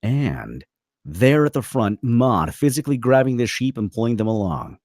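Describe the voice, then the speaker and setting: A man's voice: mid-range voice, big pitch swings, moderate pace, darkish tone, no echo, studio quality. A man reading stage directions as the narrator of a dramatic film script at a table read.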